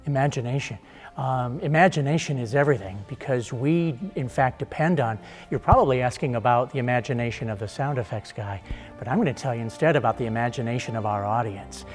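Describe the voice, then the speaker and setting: A man who makes radio sound effects, answering an interview in an expressive, wordy way as he searches for phrases. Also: medium pitch, brisk, faint music behind.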